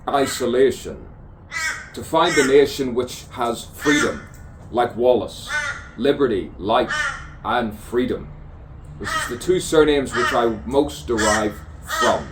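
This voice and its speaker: A man's voice: medium pitch, expressive, slow pace. A man improvising spoken-word poetry in a musing, theatrical stream of consciousness, repeating phrases and playing with rhymes. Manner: musing, repeats phrases insistently, rhyming wordplay. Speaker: poet improvising a spoken soliloquy. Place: outdoors on an avenue. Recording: distant mic, clear outdoor background noise. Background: crows and birds cawing.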